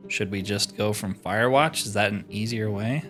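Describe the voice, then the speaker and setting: A man's voice: on the low side, moderate pace, over music. Man casually commentating over a video game. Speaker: host of a video game roleplay series.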